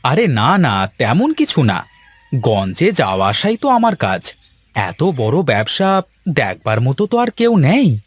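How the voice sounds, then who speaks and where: A man voicing a well-off village husband, fretful yet caring, in a cartoon fairy tale with drawn-out, expressive delivery. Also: medium pitch, dry recording. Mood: resigned.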